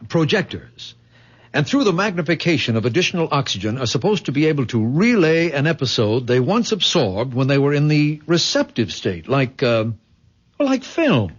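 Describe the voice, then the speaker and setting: Protective young man, medium pitch, earnest, patient, radio play acting, dull vintage recording. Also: very animated, moderate pace. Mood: earnest, explaining. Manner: earnest, careful, explanatory.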